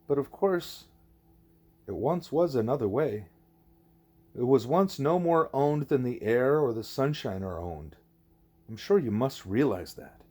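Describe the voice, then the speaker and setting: A man voicing a wise, patient gorilla teacher in an audiobook, deliberate and didactic, leaning on key words. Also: medium pitch, lively intonation, dark tone. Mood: emphatic, grave.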